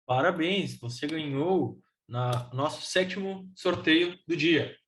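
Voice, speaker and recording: A Brazilian man hosts a live event in a slightly raised pitch. He is informal and enthusiastic, talking to the audience in a casual way. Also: slow, fairly bright tone, slight background noise. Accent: Brazilian Portuguese. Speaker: event host.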